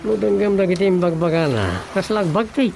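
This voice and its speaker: A man plays a village councilman with an Ilocano accent in radio comedy. His voice sits fairly high, conversational and prodding, full of questions, with music clearly underneath. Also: brisk. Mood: questioning. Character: male village councilman, the chairman's prodding sidekick. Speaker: voice actor in a radio drama.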